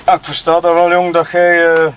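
An actor plays a Flemish man giving his side in a murder-mystery audio drama. His voice sits a little high, and he talks in a chatty, self-excusing, halting way in everyday speech. A faint noise like a vehicle runs behind him. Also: monotone, natural voice. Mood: uneasy, chatty. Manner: colloquial, halting, chuckling between phrases. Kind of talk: improvised-sounding audio drama dialogue.

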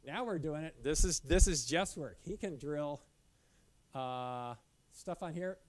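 A man's voice: a little high, dry recording. A middle-aged man giving a casual slide talk in a dim lecture room, with many restarts and fillers. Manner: loose, halting, frequent restarts and fillers. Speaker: caving club presenter.

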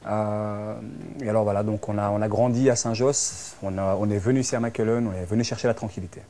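A man's voice: fairly low pitch, fairly even intonation, quick pace, over music. A man in his early thirties from Belgium, speaking French with a Belgian accent, telling his own story conversationally in an interview and often restarting with filler words. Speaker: interviewee, dance teacher and social worker. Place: small furnished lounge indoors.

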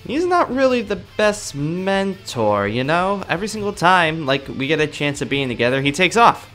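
A man's voice: on the high side, very animated, moderate pace, fairly bright tone, soft background music. A man playing a cocky, exasperated young anime fighter in a video game roleplay, grumbling to himself in slang. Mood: grumbling, resigned.

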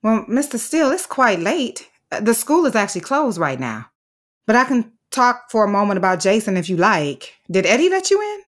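An actress playing a dedicated, firm but anxious third-grade teacher in an audio drama, speaking in a measured, articulate, formal way. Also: female voice, medium pitch, very animated, natural voice. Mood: polite.

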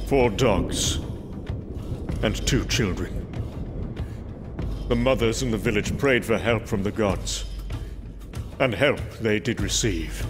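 A man performs a hearty, boastful warrior god in a comic book drama. He tells his tale grandly at a slow pace, with clear music behind him. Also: lowish voice. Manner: grandiose, self-assured, storytelling. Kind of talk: dramatized comic narration and acting.

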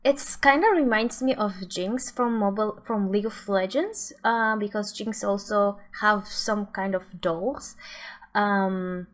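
Slow-paced young woman reacting. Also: medium pitch, a little hiss or hum. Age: young adult. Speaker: gaming reaction video creator. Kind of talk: casual reaction commentary.

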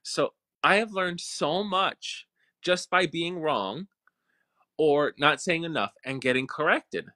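A man hosting an interview over a video call, chatting casually and acting out his own reactions. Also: slow. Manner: lively, acts out his own reactions in a high voice. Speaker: interviewer host. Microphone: video call webcam.

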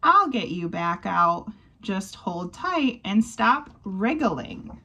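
A woman's voice: mid-range voice, very animated, slow, pushed and bright, breathy edge, heavily acted. A woman voicing a big, kindly, confident male animal in a storybook, in a reassuring, easygoing way.

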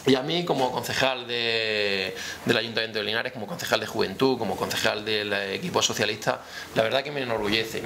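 A man's voice: mid-range voice, creaky, a bit bright. A man giving a semi-formal press conference speech as a town councillor, repeating phrases rhetorically. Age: 30s. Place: indoor press room, seated at a table. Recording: noticeable room background noise.

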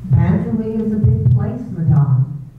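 A cold, resentful housekeeper acted by a woman in a radio play, stiff and deliberate in her deference. The sound is thin and phone-like, in a very echoey space, picked up from a distance. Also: medium pitch, expressive, lightly acted. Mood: coolly formal. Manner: formal, deliberate, coolly deferential. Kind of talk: readers-theater staging of a 1938 radio drama. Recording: roomy, thin, distant, faintly noisy stage recording.

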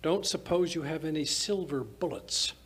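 An older man playing a puzzled, blustering English gentleman doctor in an old-time radio drama, narrating and sometimes stammering. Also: fairly high pitch, expressive, normal speed, slightly rough, full character voice. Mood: recollecting. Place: small indoor stage. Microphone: stand microphone.

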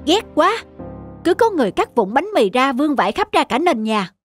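A woman's voice: sits high, big pitch swings, normal speed, faint music behind. A woman voicing an eager, deferential young male waiter in a cartoon. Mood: frank, polite. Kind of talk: cartoon story acting.

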